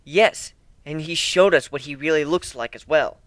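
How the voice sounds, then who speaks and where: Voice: mid-range voice, moderate pace, fairly bright tone, no room sound, natural voice. A performer voicing a friendly, protective female cat adventurer who is earnest and loyal. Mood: defensive. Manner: earnest, defensive.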